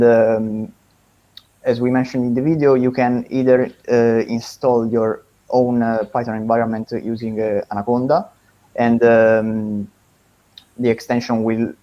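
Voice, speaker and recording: A man giving a spontaneous technical explanation, halting often mid-sentence, over an online call. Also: medium pitch, dull audio. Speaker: developer answering questions.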